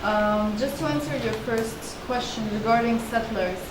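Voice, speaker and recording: Woman lecturer at a panel, fairly high voice, earnest, lots of restarts, roomy echo and hiss. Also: measured pace. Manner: earnest, explanatory, with frequent restarts. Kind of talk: academic talk answering audience questions. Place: seminar room with a panel table. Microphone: table mic on a stand. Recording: echoey room, distant and noisy.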